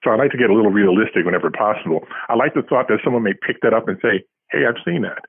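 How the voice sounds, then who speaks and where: Husky man on a tinny phone line. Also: lowish voice, rapid-fire. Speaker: author, interview guest. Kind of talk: casual interview answers.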